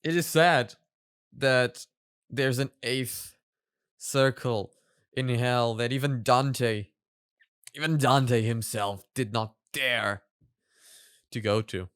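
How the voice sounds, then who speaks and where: A man hosting a reaction video, giving casual commentary in a halting way as he rephrases his explanations. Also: mid-range voice, fairly bright tone, clean tone, no echo, studio quality.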